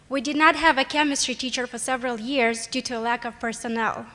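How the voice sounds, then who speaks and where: A young woman delivers a prepared speech from a podium. Her voice sits a little high and rings bright and crisp. She reads carefully in a formal, earnest way into the microphone. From Moldova. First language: Romanian. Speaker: award nominee. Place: luncheon hall.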